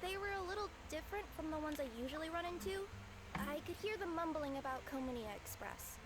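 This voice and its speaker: Brief: high, bubbly cat yokai courier girl, sheepish, game dialogue with background noise. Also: fairly quick. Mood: cheery, sheepish. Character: cheerful young cat yokai courier girl with two tails.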